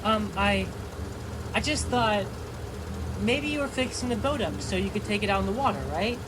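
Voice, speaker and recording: A man's voice: sits high, expressive, moderate pace, background noise. A man acting in an audio drama as a sulky, pleading boy who wants to tag along.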